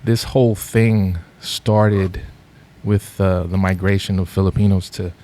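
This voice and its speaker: Middle-aged la guy as podcast guest, kinda low voice, laid back, lots of ums. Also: unhurried. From Los Angeles, USA. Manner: relaxed, rambling, many fillers. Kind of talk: casual podcast interview.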